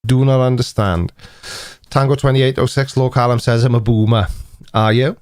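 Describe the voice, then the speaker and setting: A man's voice: medium pitch, fairly even intonation, moderate pace, darkish tone, no room sound. A man in his forties with a Welsh accent, hosting a casual live stream chat in a dry, teasing, mock-indignant manner. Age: 40s.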